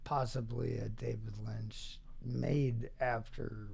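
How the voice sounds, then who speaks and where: A middle-aged or older man reminiscing casually as an interview guest, halting and wryly dry in his phrasing. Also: mid-range voice, little pitch movement, gravelly, darkish tone, a little hiss or hum.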